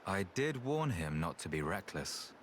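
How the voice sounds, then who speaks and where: Low-voiced, thoughtful researcher. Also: male voice, very animated, a little hiss or hum. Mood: relaxed. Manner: unhurried, thoughtful, plain-spoken. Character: calm, reasonable male researcher, grave and weary. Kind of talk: video game cutscene acting. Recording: game music behind the voice.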